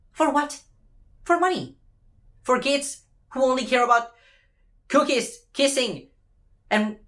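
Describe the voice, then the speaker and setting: Female fan commentator with a fairly high voice. Impassioned, exasperated opinion rant, emphatic and informal. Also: a bit bright. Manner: emphatic, exasperated, repeats phrases for effect; casual speech with swearing.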